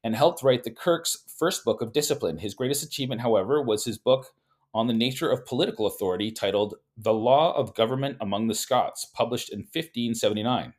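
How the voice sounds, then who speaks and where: A man's voice: mid-range voice, a little fast, a little mellow. A man reading a history book aloud, stumbling and repeating words now and then, and breaking off into casual spoken asides. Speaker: host reading from a book and commenting.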